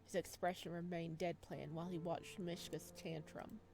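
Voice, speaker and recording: A woman's voice: fairly low pitch, level tone, normal speed, a little mellow, soft background music. A woman reading a visual novel aloud as dramatic narration, now and then stumbling over the written words.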